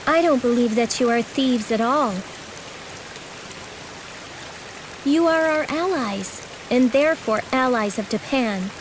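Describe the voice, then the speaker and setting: A woman's voice: a little high, normal speed, close to an everyday voice. A woman voicing an earnest, determined young princess in a video game, with a sincere manner. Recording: loud rain hiss behind voice.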